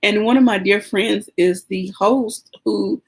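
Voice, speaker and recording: A woman hosting a podcast, talking sincerely and thoughtfully about her purpose, with pauses and "um" fillers. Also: medium pitch, slightly rough. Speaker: podcast host. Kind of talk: casual podcast conversation.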